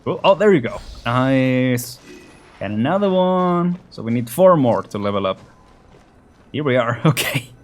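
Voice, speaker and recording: A man's voice: medium pitch, lively intonation, a little slow. A man giving casual let's play commentary, tossing in short reactions and chuckling asides as he plays. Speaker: gaming YouTuber. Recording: faint game music behind the voice.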